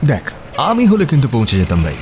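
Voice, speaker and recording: A playful young man in an audio drama, teasing and mock-dramatic. His delivery swings widely in pitch, with faint ambient noise behind it. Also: huge pitch swings, fairly quick, darkish tone. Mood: cheeky teasing. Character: young theatre-mad male best friend, playful, cheeky teaser. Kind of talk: audio story dialogue in casual Bengali.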